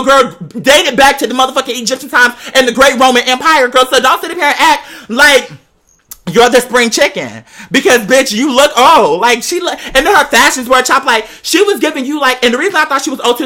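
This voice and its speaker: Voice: high-pitched, pushed and bright. A person giving a casual comedic roast in a sassy, exaggerated, slang-heavy delivery.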